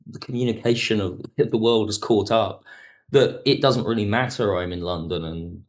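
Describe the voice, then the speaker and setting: A man speaking as a producer and guest in an interview, reflective, halting and rephrasing as he explains. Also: on the low side. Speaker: audio drama producer and writer, podcast guest. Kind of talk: reflective interview talk about production work.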